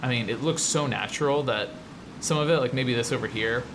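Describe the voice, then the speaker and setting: A man's voice: mid-range voice, fairly quick, noisy background. An American man from the Midwest hosting casual game commentary, rambling and explanatory with an enthusiastic manner. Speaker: show host, 3D artist.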